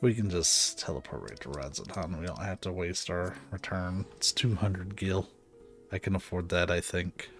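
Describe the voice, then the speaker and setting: A man's voice: deep voice, moderate pace. A man giving casual, chatty, wry commentary as he streams a game. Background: soft game music.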